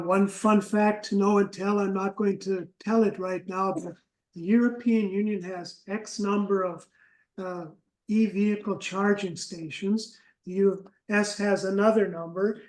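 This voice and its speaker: A male instructor moderates a classroom, briskly and upbeat, his voice sitting fairly high for a man. The sound carries the echo of the room around him. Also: steady tone, a little mellow. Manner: upbeat, brisk, keeps things moving.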